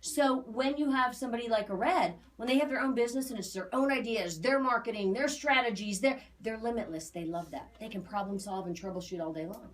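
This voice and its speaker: An American woman explaining an aura reading to clients in a casual, emphatic, animated way, repeating phrases for emphasis. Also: on the high side, fairly quick, few pauses. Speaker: aura reader.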